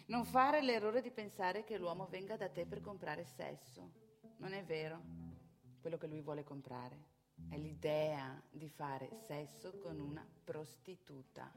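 A worldly, brash female prostitute speaks in a lowish voice with music clearly behind her. She is frank and instructive, delivering a theatrical monologue as a mentor. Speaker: actress in a solo stage monologue.

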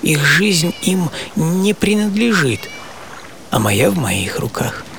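A man acting a hardy, serene seventy-year-old sailor in an audio play monologue, reflective and intimate, with savoring pauses. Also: a little high, big pitch swings, vocal fry, noisy background, heavily acted. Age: 70s. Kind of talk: first-person dramatic monologue in an audio play. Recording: music behind the voice.

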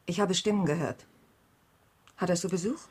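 A husky-voiced, stubborn wife in a radio drama. She is a businesswoman stuck in bed, impatient and used to control. Her pitch is lowish and rough-edged. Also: moderate pace, a little mellow. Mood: restless, suspicious. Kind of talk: radio crime drama acting.

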